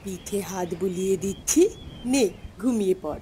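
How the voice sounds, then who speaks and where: A woman's voice: mid-range voice, animated, moderate pace, dark tone, slight background noise, close to an everyday voice. A woman playing an eerily tender, coaxing mother in a ghost story, with a trembling, plaintive voice. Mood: soft, reassuring. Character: mother come home at night in a storm, eerily tender, coaxing. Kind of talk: dramatised Bengali ghost story acting.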